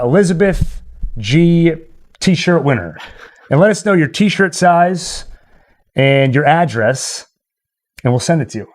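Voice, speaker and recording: Higher-voiced man hosting a casual livestream. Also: darkish tone. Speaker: livestream host. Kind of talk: casual livestream chat with viewers.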